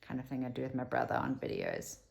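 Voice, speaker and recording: A woman's voice: very deep voice, huge pitch swings, fast talker, creaky, darkish tone. A South African woman in her fifties talking candidly to camera at home, rambling and dropping to a whisper for asides. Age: 50s. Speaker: vlogger. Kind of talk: casual talk to camera.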